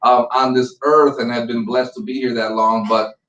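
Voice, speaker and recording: A man's voice: medium pitch, flat delivery, moderate pace, a bit bright, far from the mic, a little hiss or hum. Earnest man on a roomy, muffled call. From Puerto Rico. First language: Spanish. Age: 40s. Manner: earnest, many ums and restarts. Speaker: school principal. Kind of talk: conversational interview.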